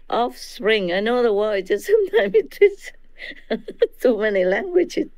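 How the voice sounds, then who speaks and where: Slow, chuckling Vietnamese-accented woman. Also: a little high, sing-song, darkish tone, dry recording. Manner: chatty, laughs often, keeps checking in with listeners. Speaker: spiritual teacher. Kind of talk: informal teaching to disciples.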